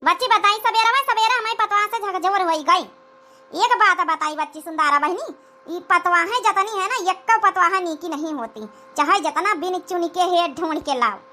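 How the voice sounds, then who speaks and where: Woman acting a shrill scheming Awadhi village mother-in-law. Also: moderate pace, pushed and bright. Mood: gossiping. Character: scheming, flattering older village mother-in-law in a comedy cartoon. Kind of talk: cartoon comedy voice acting.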